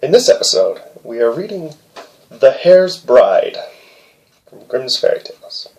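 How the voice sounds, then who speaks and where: A man hosting storytime, reading a fairy tale aloud with playful, deadpan asides. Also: medium pitch, measured pace, low-quality recording. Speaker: host and storyteller.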